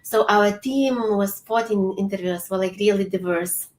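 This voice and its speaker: A woman's voice: mid-range voice, moderate pace, echoey room. Earnest woman with a Lithuanian accent. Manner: earnest, searching for words, warm laughs. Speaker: summit speaker. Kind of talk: casual panel chat. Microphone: video call.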